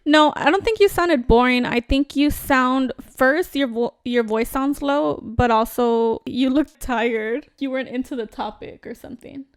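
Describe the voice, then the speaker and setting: Bright, high-voiced chatty woman. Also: measured pace, few pauses, clean tone, no echo. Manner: chatty, animated, laughs often. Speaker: podcast co-host. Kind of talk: casual chat.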